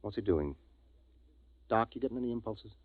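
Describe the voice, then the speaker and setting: An actor playing a resolute, urgent space expedition commander, terse and commanding in 1950s radio drama style. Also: male voice, lowish voice, animated, a little fast, no echo. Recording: tinny, narrow vintage radio recording.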